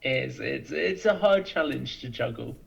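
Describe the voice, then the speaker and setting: A man's voice: mid-range voice, animated, a little slow, vocal fry, fairly bright tone, highs cut off. A man chatting as a podcast guest over a remote connection, explaining his thoughts fluently. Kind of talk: casual interview chat.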